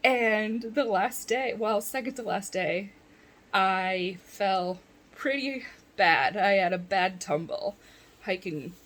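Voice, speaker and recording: A woman's voice: medium pitch, slow, a bit bright. A woman vlogging in a casual chat from bed at home, congested and rambling, often laughing.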